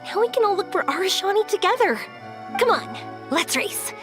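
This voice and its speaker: A woman's voice: very high voice, animated, moderate pace, music in the background. A woman playing a cheerful, playful young woman in an anime-style video game, teasing and encouraging. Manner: bubbly, teasing, friendly. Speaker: game character voice actor.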